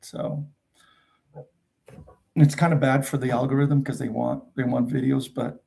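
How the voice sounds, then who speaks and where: A man's voice: mid-range voice, flat delivery, measured pace, gravelly, warm tone. A middle-aged man with a New Jersey accent whose first language is Portuguese, hosting a rambling, chatty live Q&A. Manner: rambling, chatty, frequent fillers and restarts. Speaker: host. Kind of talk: casual live Q&A chat.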